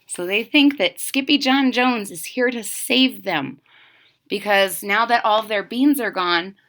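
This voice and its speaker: Female storybook reader: bright, unhurried, dramatic, pitched for young children. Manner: dramatic, explains to young listeners. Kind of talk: expressive children's read-aloud.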